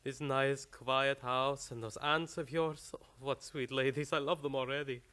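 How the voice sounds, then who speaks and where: An actor playing a meek, dreamy doctor, a crook's fawning accomplice, in a radio play. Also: male voice, mid-range voice, fairly even intonation, measured pace, pushed and bright. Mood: dreamily delighted. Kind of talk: stage-style radio play acting.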